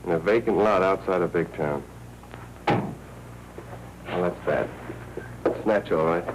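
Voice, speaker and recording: A man's voice: sits low, takes it slowly. An actor playing a jittery, snappish American newspaperman in 1940s film noir style, terse and slangy. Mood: businesslike. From United States. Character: jittery, snappish newspaperman worried over a missing girl. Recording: noisy, crackly 1940s film soundtrack.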